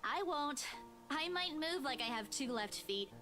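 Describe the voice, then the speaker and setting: A woman voices a clumsy yet self-assured young heroine with a high, bouncy voice that leaps around. She sounds light and friendly, and music plays clearly behind her. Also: lively intonation, a little slow.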